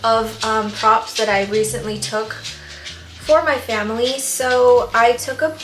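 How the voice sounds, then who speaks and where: A woman vlogging casually about her plants, rambling with fillers while her voice is going hoarse. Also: fairly high pitch, steady tone, slow pace, echoey room, slight background noise. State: losing her voice. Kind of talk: casual vlog chat.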